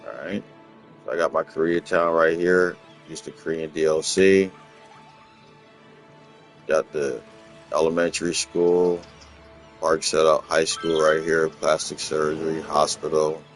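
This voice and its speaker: A man from Los Angeles, casually talking through his game in a rambling, relaxed way, often repeating words. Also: rumbling low, unhurried, pauses often, faint music behind. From Los Angeles, USA. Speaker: gaming channel host. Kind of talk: casual gameplay walkthrough commentary.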